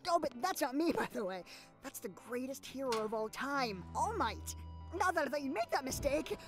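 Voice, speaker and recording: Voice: high voice, sing-song, normal speed, over music. A performer voicing an earnest, nervous teenage boy in an anime parody dub, hesitant and apologetic as the sentences ramble along. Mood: nervous rambling.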